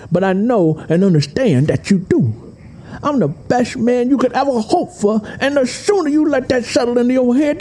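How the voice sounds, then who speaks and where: A man's voice: high-pitched, mellow, slightly rough, full character voice. A man playing a possessive, menacing Southern suitor in an audio drama, insistent and emphatic. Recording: music behind the voice. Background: music.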